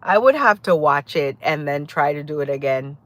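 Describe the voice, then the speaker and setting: A woman's voice: low-pitched, lively intonation, moderate pace, dry recording. Chatty Jamaican woman live-streaming. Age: adult. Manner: chatty, greets viewers by name, laughs often. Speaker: livestream host. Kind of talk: casual live-stream chat with viewers.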